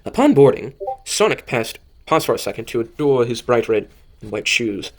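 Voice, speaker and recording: Guy narrating a fan audio drama, mid pitch, keeps tripping over words and redoing lines. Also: very animated. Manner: halting, stumbles and rereads phrases. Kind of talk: scripted story narration.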